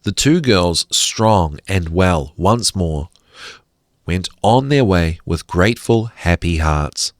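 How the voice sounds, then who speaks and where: Male fairy-tale narrator, lowish voice, dry close sound, expressive and deliberate. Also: dry recording. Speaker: storyteller.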